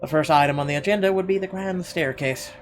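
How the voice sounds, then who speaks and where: A man's voice: fairly high pitch, quick pace. A man hosting a gaming video, reading game narration aloud and adding casual, irreverent, profane reactions. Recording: game music clearly behind the voice. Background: game music.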